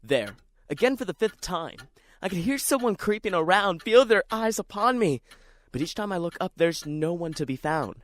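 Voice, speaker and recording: A man's voice: sits high, expressive, moderate pace, slight background noise. A man playing a lonely, neurotic, paranoid writer in an introspective, theatrical radio drama monologue. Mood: paranoid, restless.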